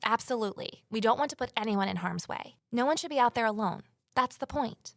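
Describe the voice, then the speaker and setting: A determined amateur sleuth woman in an audio drama. Her voice sits fairly high and is recorded close and dry, with an earnest, persuasive way of speaking. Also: animated, fairly quick, a bit bright, dry recording. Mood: eager, earnest. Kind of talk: audio drama acting and first-person narration.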